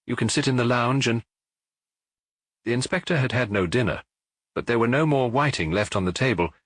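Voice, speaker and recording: A British man narrates detective fiction in a low, slightly husky voice. His reading is highly varied in intonation, literary and scripted, recorded very dry and close. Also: a bit bright, no room sound. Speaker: audiobook narrator.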